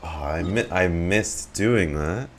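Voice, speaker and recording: A man's voice: low-pitched, takes it slowly, a little hiss or hum. A man reacting casually to a game on stream, muttering asides with some mild swearing. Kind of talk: casual live reaction to gameplay.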